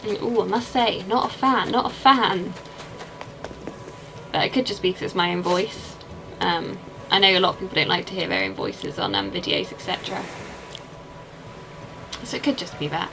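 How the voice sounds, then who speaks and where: A woman's voice: lowish voice, animated, normal speed, vocal fry, pauses often, background noise. A young woman with an English accent, chatting casually and rambling while she plays a game and answers her chat. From England. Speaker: streamer.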